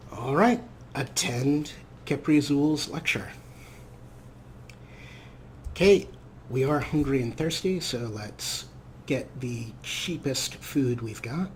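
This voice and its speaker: A man's voice: mid-range voice, a little hiss or hum. A man hosting a let's play, giving casual, wry gameplay commentary as if half talking to himself. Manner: wry, unhurried, talks to himself.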